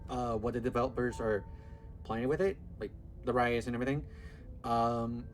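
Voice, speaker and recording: A man's voice: medium pitch, steady tone, a little fast, a little hiss or hum. A man casually commenting on a horror game he is playing, rambling and hesitant, with frequent fillers and laughter. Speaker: gameplay video host. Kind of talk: casual let's-play commentary.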